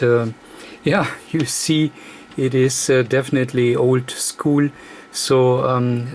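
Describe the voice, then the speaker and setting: A man, non-native in English, hosts a hands-on electronics demonstration. He talks slowly at a middle pitch, breaking sentences into short, hesitant pieces. Some steady background noise sits under his voice. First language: not English. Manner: halting, hesitant phrasing in short broken chunks. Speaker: host of electronics repair demonstrations. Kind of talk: hands-on technical demonstration, unscripted. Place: indoor electronics workbench.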